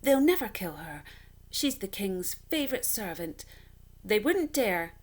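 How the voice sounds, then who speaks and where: A woman's voice: medium pitch, big pitch swings, measured pace. A woman voicing a desperate, weary young man on the run, anxious and pleading, in a storybook reading. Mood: desperate self-reassurance.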